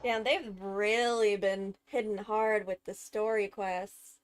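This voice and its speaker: A woman's voice: mid-range voice, steady tone, slow, a little hiss or hum. A woman streamer commenting casually and offhandedly while playing a video game.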